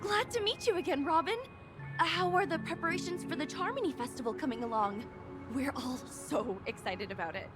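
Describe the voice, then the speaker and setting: A pleasure-seeking lady guest in a video game. Her voice is high and swings widely in pitch as she gushes expressively, with music behind her. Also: brisk. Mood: delighted. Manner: gushing, expressive. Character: pleasure-loving, well-mannered female dream resort guest. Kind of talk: scripted game voice acting.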